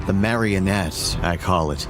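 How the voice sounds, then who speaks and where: A man's voice: low voice, a little slow. A man reading a scripted first-person horror story as its narrator. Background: music.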